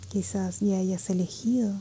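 A woman guiding a meditation in a calm, gentle, measured way, with a soft voice that turns breathy at phrase ends. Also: mid-range voice, slight background noise, low-quality recording. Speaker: meditation guide. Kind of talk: guided meditation narration.

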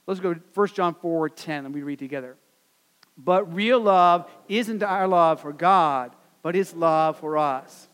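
A man's voice: fairly high pitch, measured pace, fairly bright tone, smooth, big-room sound. An American man preaching a sermon as pastor, earnest and repetitive, with many fillers and restarts. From United States.

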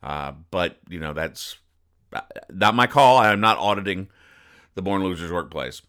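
A male podcast host with a fairly low, bright and forceful voice. He explains comic strips conversationally but with mock seriousness, wandering into pedantic digressions and acting out bits of dialogue. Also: expressive, measured pace, clean tone.

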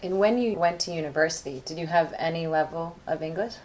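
A woman hosting a casual talk show. She asks the guest questions in a friendly, measured and clear way. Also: low-pitched, darkish tone, a little hiss or hum. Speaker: podcast host. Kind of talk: casual chat.

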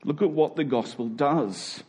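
A male preacher with a somewhat high voice delivers a sermon. He speaks conversationally and informally, then leans on key words, drawing them out slowly for emphasis. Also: lively intonation, darkish tone. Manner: conversational, informal asides to listeners. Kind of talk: sermon to a congregation.

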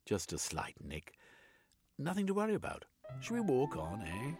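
A man voicing an ailing, old-fashioned gentleman who speaks hesitantly. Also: mid-range voice, wildly swooping pitch, normal speed, faint music behind, pitched above the performer's own voice. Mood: polite. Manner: dated, hesitant. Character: genteel ailing gentleman, queasy and uneasy.

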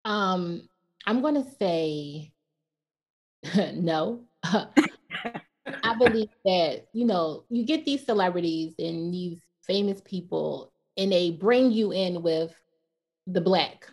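A woman co-hosting a casual podcast chat, relaxed and opinionated, laughing often. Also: fairly low pitch, takes it slowly. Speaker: co-host.

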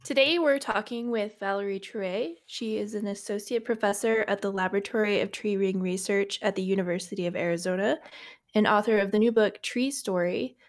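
Podcast host, woman, mid-pitched voice, friendly reading of the intro. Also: a little fast.

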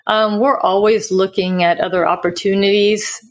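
A woman's voice: mid-range voice, steady tone, normal speed. A woman from Sweden who moved to the US as a child, explaining things conversationally as an investor guest in an interview. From Sweden, moved to the US at nine. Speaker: podcast guest, real estate investor. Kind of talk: casual interview answers.

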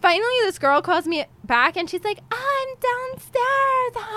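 A young woman with a New York accent, co-hosting a casual chat and telling stories in an animated way, putting on voices. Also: very high-pitched, slow pace, no room sound. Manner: animated storyteller, acts out voices. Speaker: co-host.

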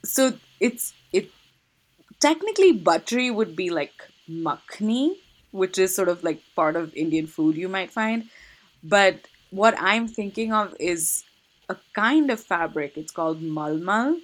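A woman's voice: mid-range voice, expressive, measured pace. A woman in a casual conversation, thinking aloud with frequent restarts and light laughter.

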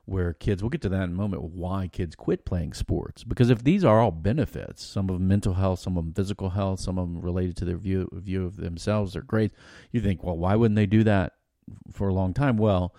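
A middle-aged American man with a projecting voice, co-hosting a podcast in a casual, colloquial, animated storytelling way. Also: low-pitched, a little fast, gravelly, no room sound, clean studio sound. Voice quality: loud, projecting voice. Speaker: podcast host. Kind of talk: casual conversational podcast discussion.

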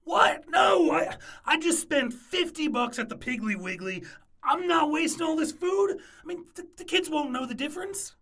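A woman playing a defensive, penny-pinching parent, raspy and argumentative, in a comic audio drama. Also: high voice, normal speed. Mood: indignant. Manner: excitable, argumentative. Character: defensive, penny-pinching snack-bringing parent at a ball field. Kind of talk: audio drama comedy acting.

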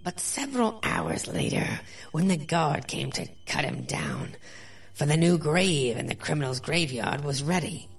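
A woman's voice: sits low, very animated, unhurried, creaky, breathy edge, faint music behind, exaggerated voice. A woman playing a vengeful witch who narrates her revenge with dramatic menace in a horror radio play. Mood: gloating, ominous.

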